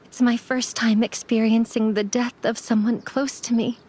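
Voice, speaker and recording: A woman's voice: a little high, level tone, normal speed, a little mellow, lightly acted. A woman playing a young immortal apprentice mortician in an anime game, earnest and subdued. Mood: grieving.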